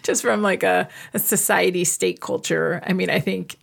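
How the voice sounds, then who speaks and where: Gravelly woman giving a reading. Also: fairly low pitch, fairly even intonation, normal speed. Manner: warm encouraging listener, frequent mm-hmms. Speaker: medical intuitive host. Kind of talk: casual conversation.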